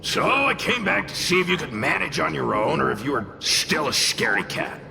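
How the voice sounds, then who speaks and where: A man's voice: fairly high pitch, lively intonation, a little fast, vocal fry, noisy background. An actor playing a brash, mischievous male fox-tail spirit in a video game, boastful and protective, with a theatrical, sardonic, mocking manner. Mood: sardonic, proud. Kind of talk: animated video game voice acting. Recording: voice over loud game music. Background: game music.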